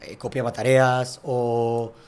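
A man's voice: mid-range voice, level tone, a little mellow, clear, resonant tone. A young man, a tennis coach and guest, telling his story in a casual podcast chat and often asking "¿sabes?". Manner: reflective, fast storytelling with frequent "¿sabes?".

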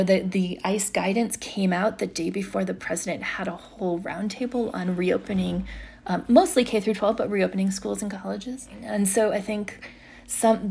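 A woman's voice: medium pitch, creaky, a little mellow, breathy edge. A woman journalist explaining policy thoughtfully in an interview. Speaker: journalist guest.

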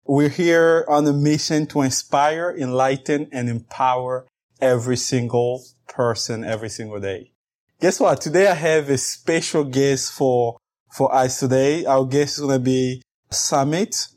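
Male interview host, upbeat and welcoming, mid-pitched. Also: steady tone, unhurried, darkish tone. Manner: upbeat, reads guest introduction. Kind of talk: podcast interview hosting.

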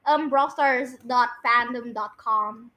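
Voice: mid-range voice, slow, sharp tone. A podcast host chatting casually and bossily while directing a guessing game.

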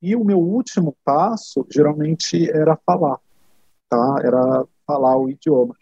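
Man with a dull, husky voice. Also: on the high side, unhurried, dark tone, no echo. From Brazil. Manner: explanatory, many fillers like "né" and "tá". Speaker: interview guest. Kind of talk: casual interview answers. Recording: dull lo-fi sound.

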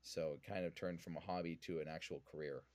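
A man's voice: low voice, fairly even intonation, fast talker, a little mellow, no room sound. A man from Vancouver with a Canadian accent, answering interview questions conversationally as a podcast guest. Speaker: podcast guest, whiskey influencer.

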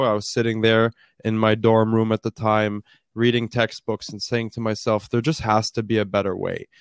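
Deep voice young guy telling his founder story, lots of uhs, dry close mic. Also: no echo. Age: 20s. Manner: fluent storytelling with frequent uh fillers. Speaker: startup founder guest. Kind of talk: interview answers, conversational. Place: based in Canada.

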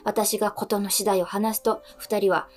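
A woman's voice: medium pitch, flat delivery, normal speed, a little mellow, soft background music. A woman co-hosting a radio show, chatting lightly and reading listener letters aloud.

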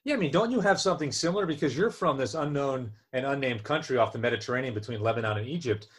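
A man's voice: medium pitch, quick pace, breathy edge. A man from New Jersey chatting casually as co-host, joking and self-deprecating, often restarting his sentences. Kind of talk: casual chat.

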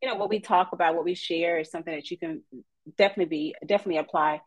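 A woman from East Texas, chatting on a podcast as a guest counselor and telling her story with faith-filled warmth. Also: fairly low pitch, fairly even intonation, rapid-fire, dry recording, poor audio. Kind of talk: casual podcast chat.

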